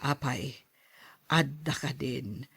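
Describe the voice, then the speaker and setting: A woman playing a teasing middle-aged mother eager for a grandchild in an audio drama, with drawn-out, chuckling delivery. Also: a bass voice, gravelly, a bit bright, husky, no room sound, clean studio sound, full character voice. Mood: amused teasing.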